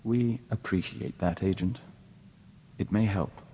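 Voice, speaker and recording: An actor voicing a calm, formal young man joined to an insect hive mind in a video game, speaking in a measured way as "we". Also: deep voice, long reverb tail, a little hiss or hum. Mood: earnest, dreamy. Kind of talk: scripted video game voice acting.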